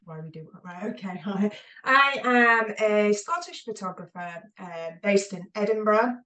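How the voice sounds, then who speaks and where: A woman's voice: mid-range voice, unhurried, bright, forceful tone, recorded from a distance. A woman in her forties with a southern English accent, chatting casually and telling her story as a podcast guest. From southern England.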